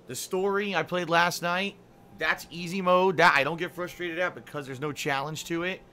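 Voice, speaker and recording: A man's voice: fairly high pitch, steady tone, normal speed, bright, forceful tone. A middle-aged man streaming games live, rambling and complaining in a casual monologue full of fillers. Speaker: game streamer.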